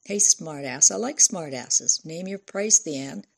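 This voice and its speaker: A woman's voice: sits low, expressive, takes it slowly, gravelly, husky, heavily acted. A woman voicing a cocky, taunting young male biker in an audiobook, swaggering and slangy. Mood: taunting, sly.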